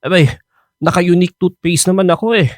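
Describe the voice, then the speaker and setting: A man's voice: high voice, a little fast, dry recording, clean studio sound, lightly acted. An actor playing an older, playful Filipino womanizer who is earnestly courting, in a hesitant, coaxing radio drama style. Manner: hesitant, coaxing, peppered with interjections.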